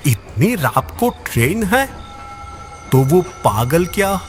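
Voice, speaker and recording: A man's voice: on the high side, big pitch swings, slow, faint music behind. A man playing an uneasy, puzzled traveler in a horror cartoon, speaking in a questioning, nervous way. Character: uneasy, puzzled male traveler at night station. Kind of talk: Hindi animated horror story dialogue.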